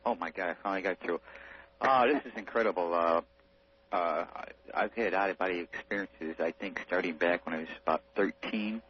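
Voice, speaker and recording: A man's voice: sits low, fairly quick, husky. A man calling in to a radio show, telling a personal story in an earnest, rambling, casual way. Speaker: radio phone-in caller. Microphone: telephone. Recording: muffled phone line, faint hum and noise.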